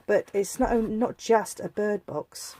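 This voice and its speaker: A woman's voice: medium pitch, a little slow, dark tone. A woman with a slight head cold, giving a chatty, rambling step-by-step craft demonstration and often correcting herself. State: has a head cold coming on. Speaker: craft tutorial host.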